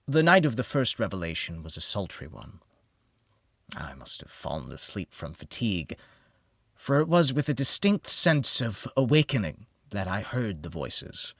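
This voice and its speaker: A man narrating a gothic horror audiobook in a solemn, theatrical manner with deliberate pauses. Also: fairly low pitch, big pitch swings, fairly bright tone, no room sound, studio quality.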